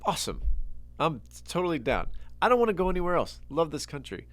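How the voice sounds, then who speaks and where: A middle-aged man co-hosting a podcast in casual chat, rambling with frequent restarts and getting animated when making a point. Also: fairly high pitch, fast, dry recording, faint music behind. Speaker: podcast host.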